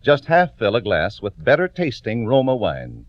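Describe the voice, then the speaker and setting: Hugely animated vintage radio adman. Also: mid-range voice, moderate pace, no room sound. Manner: polished, emphatic salesmanship. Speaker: radio commercial announcer. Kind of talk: 1940s radio advertising pitch. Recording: dry, muffled old radio recording.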